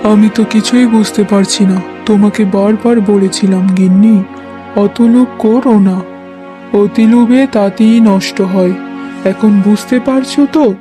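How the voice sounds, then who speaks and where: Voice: medium pitch, fairly even intonation, measured pace, warm tone, faint music behind, close to an everyday voice. A performer voicing a scolding, resigned husband in a melodramatic cartoon, speaking with reproach. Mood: bitter reproach.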